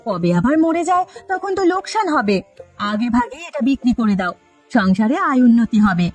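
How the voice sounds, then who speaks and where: A woman's voice: a little high, sing-song, brisk, darkish tone, faint music behind. A woman voicing a nagging, bossy, money-minded village wife in a cartoon, with a scolding manner. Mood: pragmatic, insistent. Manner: scolding, complaining. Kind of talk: Bengali cartoon story dialogue.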